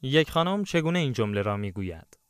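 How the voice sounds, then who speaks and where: A man narrating a language lesson, giving clear, instructive prompts in a scripted style. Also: mid-range voice, very animated, racing, no room sound, clean studio sound.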